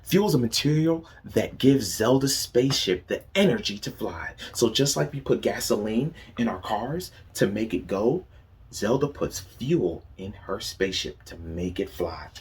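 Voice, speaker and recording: Guy teacher reading a storybook to little kids, super lively pitch, slow and patient. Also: slight background noise. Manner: animated, asks children questions and praises them. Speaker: teacher reading aloud to children. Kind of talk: children's picture book read-aloud.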